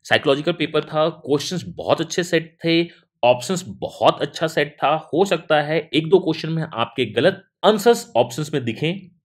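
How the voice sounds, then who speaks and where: A man's voice: animated, normal speed. A middle-aged man, a teacher talking students through an exam, moving between Hindi and mid-sentence and hitting key words hard. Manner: emphatic, jumps in pitch on key words. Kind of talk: analysis talk to students.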